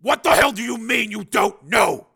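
A man voicing an enraged, bullying army major who shouts accusingly in an audiobook thriller. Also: high voice, lively intonation, moderate pace, vocal fry, slightly rough, pitched above the performer's own voice, full character voice.

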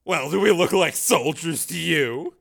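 A sly redheaded showgirl who purrs her lines up high and keeps everything light and teasing, as a male narrator puts her on. Her voice is bright and lively, bouncing across a wide range. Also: measured pace. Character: sultry wisecracking redheaded showgirl, woman.

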